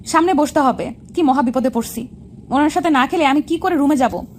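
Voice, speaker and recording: Woman acting a shy young college girl in a love story, high voice, talks fast, noisy background. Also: darkish tone, natural voice. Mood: nervous, hesitant. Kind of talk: Bengali audio love story dialogue. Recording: clear background noise.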